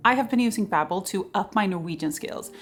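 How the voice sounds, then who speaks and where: A woman's voice: medium pitch, expressive, brisk, a bit bright, faint music behind. A woman in her thirties whose first language is Swedish, chatting casually in a vlog outdoors in the freezing cold, laughing often. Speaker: vlogger.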